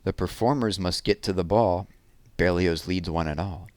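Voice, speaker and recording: A man reading children's poems and picture books aloud in an expressive, deliberate way, with conversational asides. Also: low-pitched, no room sound.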